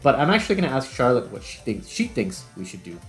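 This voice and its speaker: A man's voice: medium pitch, wildly swooping pitch, fast, soft background music. A man hosting a video, talking casually and thinking aloud as he explains game development. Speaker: video creator and host.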